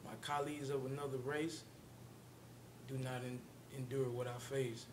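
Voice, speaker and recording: A man reciting his own spoken-word poem in a measured, solemn, deliberate way. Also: flat delivery. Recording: rumbling background noise.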